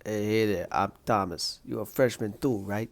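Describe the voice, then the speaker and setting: A man's voice: on the low side, normal speed, close to an everyday voice. Man playing a friendly hesitant college freshman. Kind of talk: comic book dub voice acting.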